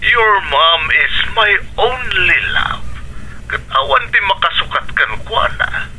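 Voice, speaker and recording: An actor playing a devoted middle-aged widower father in a radio drama, mixing English into his drawn-out talk and reluctant to love again. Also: sits high, lively intonation, creaky, bright, forceful tone, slight background noise, exaggerated voice, low-quality recording. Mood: wistful, devoted. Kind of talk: Ilocano radio drama acting.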